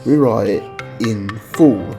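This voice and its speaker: A man voicing an impersonal official Party message in a clipped, bureaucratic manner for an audiobook dramatization, with loud music underneath. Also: on the low side, slow pace. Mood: flat, stern.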